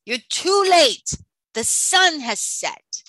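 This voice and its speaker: A woman's voice: high-pitched, very animated, extremely slow, bright, forceful tone, no room sound, voice pushed up, heavily acted, rough recording. A woman voicing a wicked, gloating female sea witch villain from a storybook, menacing and taunting. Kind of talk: storybook character voice.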